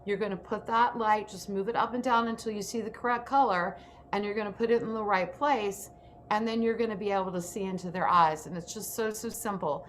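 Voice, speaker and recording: A woman's voice: mid-range voice, brisk. A woman hosting an informal online workshop, speaking enthusiastically as she instructs over a video call. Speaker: host and acting self-tape instructor. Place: indoor room on a video call. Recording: background noise on a video call.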